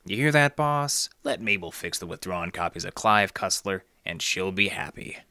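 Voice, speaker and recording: A man's voice: low-pitched, animated, normal speed, sharp tone, dry recording, exaggerated voice. A man playing a wry, laconic, folksy hard-boiled detective in a noir radio drama. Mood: wry coaxing. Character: wry hard-boiled male library detective, easygoing fixer.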